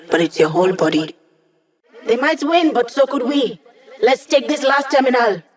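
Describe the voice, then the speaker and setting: A woman's voice: medium pitch, lightly acted. A sarcastic young hacker woman, played by an actress, from a video game. She talks casually and teasingly, tossing out quips as she guides her squad. Her delivery is wry and conversational. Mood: briskly instructive. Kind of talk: scripted video game character dialogue.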